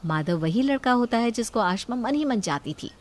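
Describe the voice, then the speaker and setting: A woman's voice: fairly high pitch, animated, moderate pace. A woman storyteller reading a scripted moral story as narrator.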